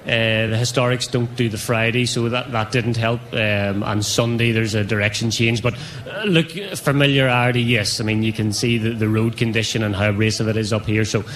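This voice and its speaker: A man's voice: on the low side, fairly even intonation, moderate pace, few pauses, a bit bright. A man, a rally co-driver interviewed on stage at a rally event, joking and laughing easily.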